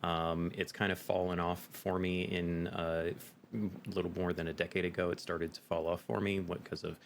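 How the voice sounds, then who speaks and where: A man's voice: sits low, flat delivery, normal speed, gravelly, a little hiss or hum. A middle-aged man with an American accent, talking casually as a guest in an interview. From United States. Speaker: podcast guest, game master.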